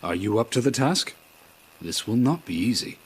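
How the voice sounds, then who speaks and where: A man's voice: medium pitch, very animated, moderate pace, husky. An actor voicing a haughty, scolding talking sword in a video game, formal and knightly in his phrasing. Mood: stern. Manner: pompous, formal, archaic phrasing. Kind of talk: video game cutscene acting.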